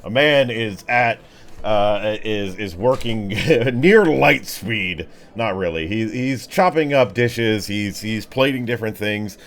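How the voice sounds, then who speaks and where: A man narrating a tabletop game as game master, informal and halting as he searches for words. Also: fairly low pitch, fairly bright tone.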